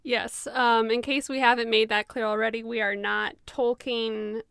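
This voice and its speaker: Higher-voiced woman hosting a podcast, crisp and dry. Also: level tone, normal speed, fairly bright tone, no room sound. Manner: enthusiastic, chatty. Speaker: podcast co-host. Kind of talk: casual podcast chat.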